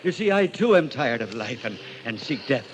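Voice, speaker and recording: An actor playing a genteel, eccentric elderly gentleman in an old-time radio drama, speaking in an urbane, theatrical way. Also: mid-range voice, sing-song, moderate pace, lo-fi sound, a little hiss or hum, close to an everyday voice. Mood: urbane, persuasive. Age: older.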